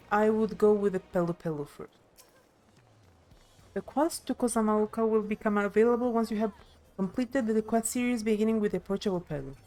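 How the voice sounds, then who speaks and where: A woman's voice: mid-range voice, darkish tone. A woman streaming a game, reading dialogue aloud with side comments and the occasional stumble. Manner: reads with occasional stumbles and self-corrections. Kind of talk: live playthrough, scripted dialogue read aloud with side comments. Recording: game music behind the voice. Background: game music.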